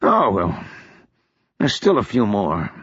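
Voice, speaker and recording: A man voicing a drunken, foppish male officer, hungover and carefree, in a husky voice. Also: fairly low pitch, huge pitch swings, slow, fairly bright tone, no room sound, highs cut off, full character voice. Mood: indignant.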